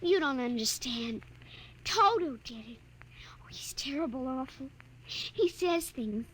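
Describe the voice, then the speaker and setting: A sensitive, scared little girl in an old radio drama. Her voice is very high, hushed and plaintive, with a childlike plea in it. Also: animated, a little slow, slightly rough, full character voice, rough recording. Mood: frightened, pleading. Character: timid, frightened young daughter, pleading and sensitive. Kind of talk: 1950s radio drama acting.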